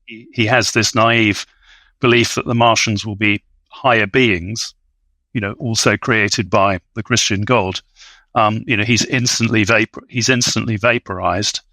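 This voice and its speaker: A man with a bright, forward voice talks as an analytical podcast panelist. He is articulate and scholarly, choosing bookish words, recorded close and dry. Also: fairly low pitch, steady tone, a little slow, no echo, rough recording. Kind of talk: analytical film discussion.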